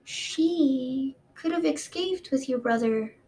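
A woman's voice: sits high, mellow, pitched above the performer's own voice. Woman reading as a gloating dragon queen. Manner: cheerfully malicious, drawn-out, imperious. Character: haughty cruel dragon queen, female adult. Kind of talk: audiobook character reading.

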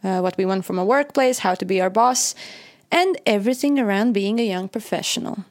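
A woman's voice: medium pitch, a little fast, dry recording, studio quality. A young woman from Latvia, a native Latvian speaker, co-hosting a casual podcast in a chatty, laughing, self-deprecating way. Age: young adult. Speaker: podcast co-host.

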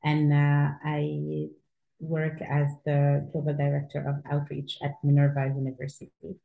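A woman from Senegal, an interview guest, explaining her university in a measured way with frequent fillers. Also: low-pitched, completely flat delivery, gravelly, narrow, tinny audio. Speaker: university outreach director, guest. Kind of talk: interview answers. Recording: echoey room.

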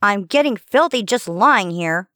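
A man's voice: high-pitched, animated, a little slow, sharp tone, dry recording, studio quality, pitched above the performer's own voice, exaggerated voice. A man voicing a spoiled, haughty female talking sword princess, nagging and indignant, in a fantasy audiobook. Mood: petulant complaining.

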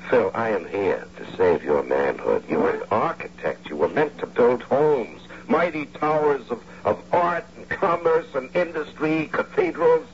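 A man's voice: medium pitch, big pitch swings, unhurried, few pauses. An actor playing a drunken, scheming engineer in an old-time radio drama, insistent and grandiose as he talks a friend round. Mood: urgent, bitter. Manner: emphatic, grandiose, insistent persuader. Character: drunken, scheming unemployed engineer talking his friend into crime. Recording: dull, noisy old radio recording with hum.

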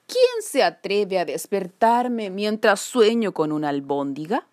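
A woman's voice: medium pitch, very animated, unhurried, darkish tone, no room sound, clean studio sound. A woman voicing a grumpy, sleepy, pot-bellied male cat from a children's storybook, indignant and drawling. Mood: grumpy, indifferent. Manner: indignant, self-centred, drawling.

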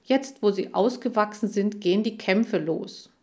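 A woman's voice: mid-range voice, unhurried, mellow, pitched above the performer's own voice, lightly acted. A woman voicing a cheerful, lightly teasing young girl in a children's story. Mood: chatty. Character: cheerful young girl Kira who keeps hamsters.

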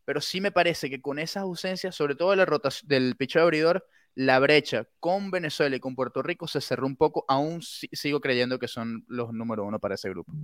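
A man on a sports panel giving a measured, polite analysis of a team. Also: medium pitch, dry recording, clean studio sound. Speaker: baseball analyst.

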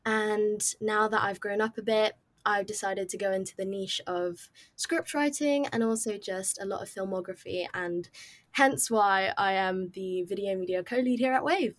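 A woman's voice: mid-range voice, normal speed. Casting: young woman, bubbly chatty podcast guest. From England. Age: young adult. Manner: bubbly, chatty, many ums. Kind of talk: casual chat.

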